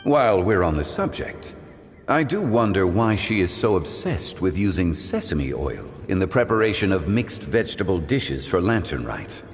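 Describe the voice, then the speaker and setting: An actor playing a composed, scholarly gentleman in a video game, speaking in formal, courteous phrases. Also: low-pitched, sing-song, normal speed, long reverb tail. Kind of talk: scripted video game dialogue.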